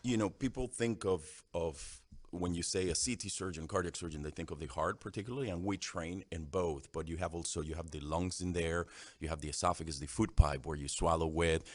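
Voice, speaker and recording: A middle-aged man from Venezuela, a surgeon answering interview questions in a casual, halting way with many fillers and restarts. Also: sits low, no echo. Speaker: thoracic surgeon guest.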